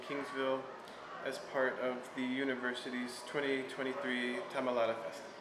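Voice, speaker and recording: A man interviewing for an oral history recording. He asks measured questions with hesitant fillers. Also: mid-range voice. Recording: echoey room with noise.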